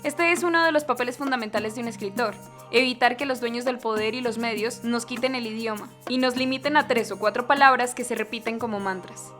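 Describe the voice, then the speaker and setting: A woman's voice: a little high, a little fast, fairly bright tone, faint music behind. A woman reading scripted documentary narration as the narrator.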